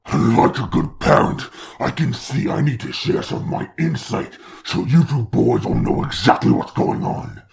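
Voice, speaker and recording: A man voicing a grandiose, taunting demon lord in a comic dub, with a gravelly, theatrical delivery. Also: mid-range voice, moderate pace, few pauses, a little mellow. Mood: scolding, domineering. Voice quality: gravelly, creaky. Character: grandiose demon lord, menacing mocking father of hellspawn.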